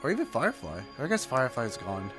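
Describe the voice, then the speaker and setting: Guy streamer reacting to a game, rambly and lively, mid pitch, faint music behind. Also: fast. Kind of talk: casual reaction commentary.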